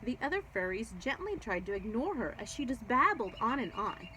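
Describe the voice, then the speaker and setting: A woman reading a children's book aloud, expressive and explanatory, as if speaking to young children. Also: on the high side, a little fast, slight background noise, rough recording. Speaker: read-aloud storyteller. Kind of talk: children's book read-aloud with chatty asides.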